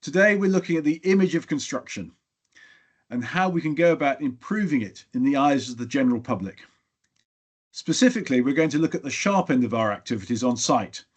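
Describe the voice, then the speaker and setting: A man's voice: mid-range voice, expressive, a little fast. A middle-aged man hosting an industry podcast, affable, asking questions and now and then tripping over a word. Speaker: podcast host. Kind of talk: interview hosting.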